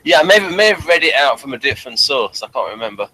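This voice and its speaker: Bright-voiced man hosting an informal review show. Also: mid-range voice, very animated, low-quality recording. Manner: halting, digressive, self-correcting. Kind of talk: informal review chat, reading out a summary.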